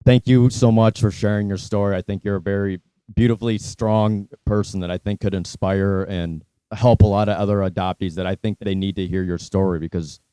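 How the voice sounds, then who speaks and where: A man's voice: lowish voice, fairly even intonation, brisk, mellow, clear, resonant tone, dry recording. A man hosting a video-call interview, speaking casually and effusively with repeated words and restarts. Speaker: interviewer, host.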